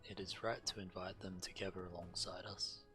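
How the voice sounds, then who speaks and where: Deep-voiced male game streamer reading aloud. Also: level tone, brisk, sharp tone. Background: game music.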